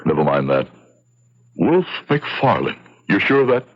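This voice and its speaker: A very low-voiced, haunted man, a failed doctor sunk in drink and despair. His brooding, sardonic speech swings dramatically up and down. It sounds like an old radio horror play with dull, lo-fi audio. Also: sing-song, a little slow, slightly rough, exaggerated voice. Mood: bitter, accusing. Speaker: narrator and lead character.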